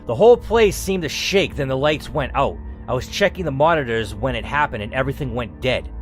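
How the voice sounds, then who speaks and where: A man reading aloud as a bitter, reclusive male IT worker in a story game, in a resentful, low-key way. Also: close to an everyday voice.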